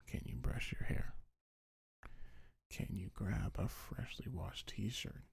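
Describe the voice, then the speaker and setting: A man speaking gentle, soothing ASMR affirmations in a breathy, husky half-whisper, unhurried and close to the microphone. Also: sits low, no echo. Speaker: ASMR creator. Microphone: close microphone, dry.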